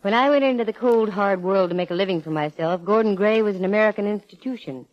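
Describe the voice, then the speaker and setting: A woman's voice: medium pitch, fast talker, faint music behind, close to an everyday voice. An actress playing a wry, self-assured female private eye in a 1940s radio detective drama, with a cool, wisecracking, slangy delivery. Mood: wry narrating. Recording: muffled vintage 1940s radio recording.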